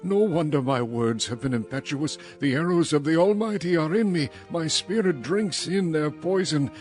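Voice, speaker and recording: A man's voice: a little high, expressive, normal speed, a little mellow, natural voice. An actor playing a despairing, suffering man who laments in solemn anguish in a dramatized scripture reading. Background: music.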